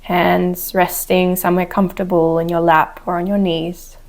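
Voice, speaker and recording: Woman guiding meditation, even and creaky. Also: lowish voice. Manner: gentle, unhurried instruction with soft pauses. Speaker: yoga and meditation teacher. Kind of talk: guided meditation. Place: outdoors on a wooden deck. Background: chickens and a rooster.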